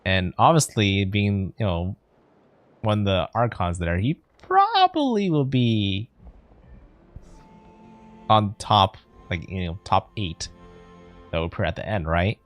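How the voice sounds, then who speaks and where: A woman's voice: extremely low pitch, sing-song, a little slow, long pauses, faint music behind. A woman streaming a video game, giving casual commentary and reading some dialogue aloud.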